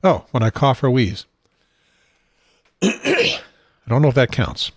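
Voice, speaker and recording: A man hosting his own talk show from a home studio desk, rambling and self-deprecating, with a husky, unsteady voice and frequent throat clearing. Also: mid-range voice, big pitch swings, slow pace, no room sound. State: has a probe in his nose. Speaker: podcast host.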